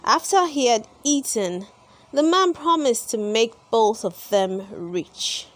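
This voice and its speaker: Slow, high-pitched woman reading a storybook expressively. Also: expressive. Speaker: storybook reader and channel host. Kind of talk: expressive children's read-aloud narration.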